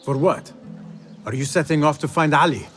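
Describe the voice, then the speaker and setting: A man acting a humble, cautious young rebel assassin in a video game drama, serious and deliberate. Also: fairly high pitch, a bit bright, soft background music, lightly acted. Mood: guarded.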